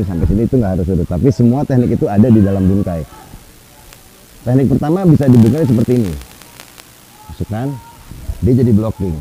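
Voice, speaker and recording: A man in his forties teaches karate with a lowish voice, talking his way through each move. He is relaxed and explanatory and often stops on filler sounds. He is filmed at medium range in an open-air café courtyard at night. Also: low-quality recording. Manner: easygoing, explanatory, with frequent filler pauses. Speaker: karate instructor. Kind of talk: instructional explanation.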